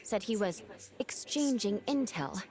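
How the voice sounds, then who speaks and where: A woman voicing a composed, dutiful young female knight in a video game, polite and measured. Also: mid-range voice, animated, crowd noise, close to an everyday voice. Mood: composed, courteous. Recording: game music behind the voice.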